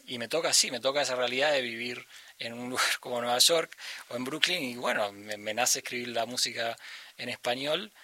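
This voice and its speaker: A man's voice: mid-range voice, pushed and bright, no room sound. A young man with an Argentine accent, a musician guest chatting in an interview, thinking aloud with many fillers and restarts. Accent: Argentine Spanish. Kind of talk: casual interview conversation.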